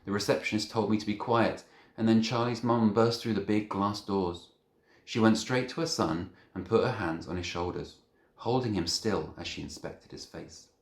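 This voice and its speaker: A man reading a children's novel aloud as an expressive narrator. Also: on the low side, moderate pace. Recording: echoey room.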